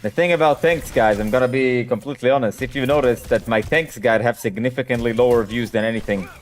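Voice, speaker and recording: A man's voice: mid-range voice, quick pace, a little hiss or hum. A man, a native Hebrew speaker, chatting casually as a game coach on a live stream and answering questions in a direct way.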